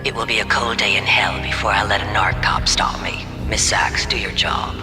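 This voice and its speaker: An actor playing a menacing crime boss with a whispery voice in a game. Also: male voice, fairly low pitch, fairly even intonation, normal speed, over music, natural voice.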